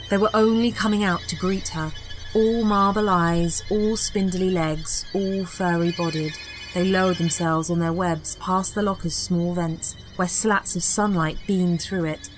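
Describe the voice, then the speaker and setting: A woman reading a scripted horror audiobook as narrator, with a dramatic, suspenseful delivery. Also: on the low side.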